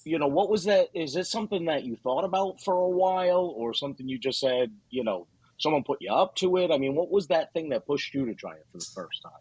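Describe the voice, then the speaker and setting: A man's voice: a little high, expressive, fairly quick, a little mellow, slightly rough, rough recording. A man co-hosting a comedy podcast, a comedian himself, talking earnestly in a casual chat.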